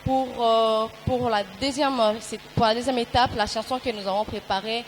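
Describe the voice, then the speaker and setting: A woman, a gospel choir singer giving hesitant, halting answers in a TV interview. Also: on the high side, fairly even intonation, slight background noise, rough recording.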